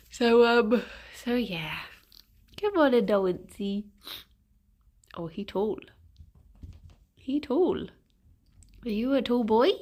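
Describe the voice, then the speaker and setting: Casual woman streamer, young, stuffy-nosed, giggly and chatty. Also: mid-range voice. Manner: chatty, giggly, greets viewers by name. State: congested, stuffy nose. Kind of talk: casual morning chat with viewers.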